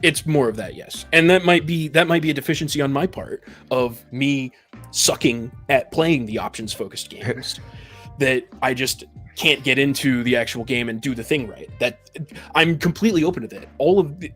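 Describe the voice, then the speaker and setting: A man's voice: medium pitch, moderate pace, a bit bright. A man talking through his reasoning in a casual panel discussion, explaining at length and readily allowing for his own limits. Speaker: podcast panelist. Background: background music.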